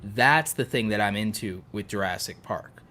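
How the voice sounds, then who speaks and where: A man's voice: on the low side, normal speed, bright, forceful tone. A man chatting casually as a podcast co-host, rambling along with effusive enthusiasm.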